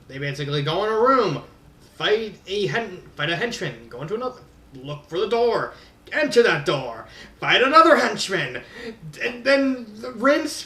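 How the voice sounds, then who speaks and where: A man with a high-pitched voice delivers a casual review. He rambles and leans on his points with exasperated emphasis. The recording is echoey, and he sounds some distance from the microphone. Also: pushed and bright. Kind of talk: casual spoken fan fiction review. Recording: roomy echo, distant from mic.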